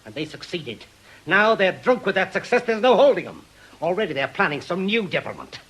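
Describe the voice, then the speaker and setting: A bitter British widower and former policeman in a radio play. The voice is husky and hard-edged, swinging widely in pitch as he presses his accusations bluntly. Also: very animated, a little fast, fairly bright tone, full character voice, rough recording. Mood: urgent, angry. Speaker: radio actor. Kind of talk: radio drama acting.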